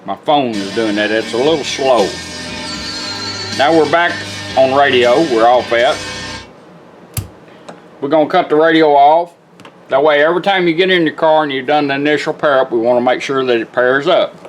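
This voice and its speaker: A man's voice: mid-range voice, moderate pace, long pauses. A man giving a casual, hands-on product demonstration in a folksy, plain-spoken, instructional way. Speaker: radio restorer demonstrating a product. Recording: music playing behind the voice. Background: music from a radio.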